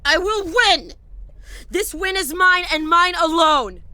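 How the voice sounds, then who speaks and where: A woman's voice: extremely high pitch, animated, slow pace, pushed and bright, exaggerated voice. A woman playing a haunted, defiant widow driving alone at night in an audio horror drama, dramatic, brooding and wry. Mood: furious, defiant. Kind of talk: audio horror drama acting with first-person narration.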